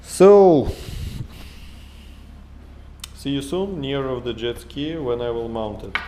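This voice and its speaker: A man in his thirties with a Russian accent, talking through his workshop in halting English full of fillers and restarts, recorded on an action camera indoors. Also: medium pitch, expressive, mellow, a little hiss or hum. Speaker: maker vlogger. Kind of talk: casual workshop vlog. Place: home workshop room, indoors. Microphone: action camera held or mounted close.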